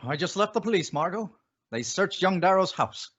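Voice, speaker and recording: A man's voice: high voice, animated, normal speed, a bit bright, highs cut off, a little hiss or hum. A man playing a resolute crime-fighting avenger in old-time radio drama style, with a dramatic, authoritative delivery. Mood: explanatory. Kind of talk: 1940s radio drama acting.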